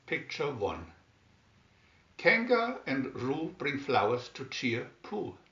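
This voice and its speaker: A man reading a children's story aloud in a deliberate, expressive way, with emphatic swoops on key words. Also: mid-range voice, slight background noise. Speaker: storybook reader. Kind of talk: scripted children's story narration, read aloud slowly and expressively. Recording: dull, roomy home recording.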